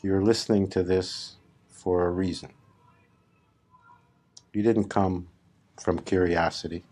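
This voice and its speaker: Male meditation guide: deep register, unhurried delivery, deliberate phrasing with long gaps. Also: fairly even intonation, darkish tone. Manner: measured, deliberate, with long pauses between short phrases. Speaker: spiritual teacher leading a guided meditation. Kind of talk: slow guided meditation talk.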